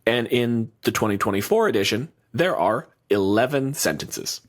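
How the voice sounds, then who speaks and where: A man's voice: medium pitch, expressive, normal speed. A man co-hosting a podcast, talking in blunt, profane, argumentative casual chat. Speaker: podcast co-host.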